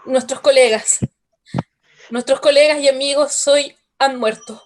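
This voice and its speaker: An actress playing a radio announcer who reports an attack from an emergency station, then narrates calmly. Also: female voice, sits high. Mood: shaken. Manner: measured, solemn reporting. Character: female emergency news announcer, shaken but composed. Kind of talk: radio drama acting.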